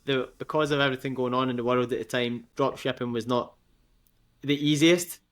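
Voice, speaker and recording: A young Scottish man speaks as a podcast guest. His talk is chatty and loose, full of fillers and quick laughs. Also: mid-range voice, steady tone, fairly bright tone. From Scotland. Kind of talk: casual interview.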